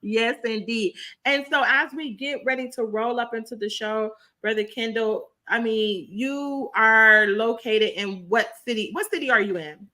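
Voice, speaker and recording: A woman hosting a podcast, her voice a little raspy, talking with effusive, repetitive, preacher-like enthusiasm. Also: fairly high pitch, measured pace, hardly any pauses, pushed and bright. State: slightly raspy voice. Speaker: podcast host.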